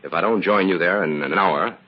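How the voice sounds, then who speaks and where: An actor playing a confident, cool-headed investigator in an old-time radio crime drama. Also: male voice, low voice, a little fast, close to an everyday voice. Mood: calm, decisive. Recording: dull, noisy old radio recording.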